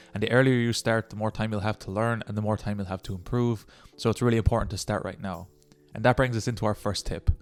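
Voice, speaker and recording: A young man giving encouraging language-learning tips in a casual vlog, talking straight to the viewer. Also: on the low side. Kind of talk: casual tutorial vlog talk.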